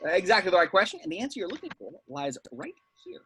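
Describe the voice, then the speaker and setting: A man plays a brusque secret agent in a film script read-through. The voice is high-pitched and swings widely, with a sharp edge. He is clipped, sardonic and used to giving orders. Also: wildly swooping pitch, quick pace, slight background noise, heavily acted. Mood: controlled, authoritative. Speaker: amateur actor at a table read.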